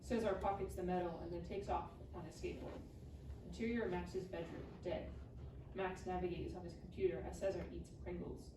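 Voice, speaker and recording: Female screenplay narrator, slightly low voice, reading scene directions in a roomy, noisy space. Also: fairly even intonation, a little fast. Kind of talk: scripted screenplay narration, live table read. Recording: roomy, distant mic, clearly noisy.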